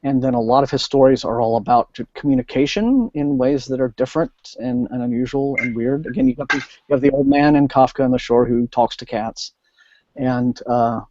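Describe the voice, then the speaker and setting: A man hosting a casual book discussion, speaking haltingly and often rephrasing mid-thought over an online call. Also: medium pitch, warm tone, no echo. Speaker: host of a book discussion liveshow. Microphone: video call. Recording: muffled, lo-fi online call audio.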